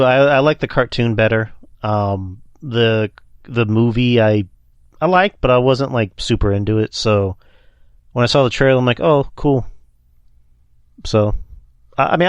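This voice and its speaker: A man's voice: lowish voice, dry recording, dull audio. A man co-hosting a podcast Q&A in easygoing chat, joking and rambling with many fillers. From Texas. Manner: joking, loose, full of fillers. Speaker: podcast co-host.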